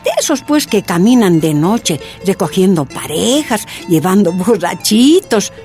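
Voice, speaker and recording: A woman's voice: medium pitch, sing-song, a little slow, darkish tone, faint music behind. A woman with a Bolivian accent telling a first-person recollection.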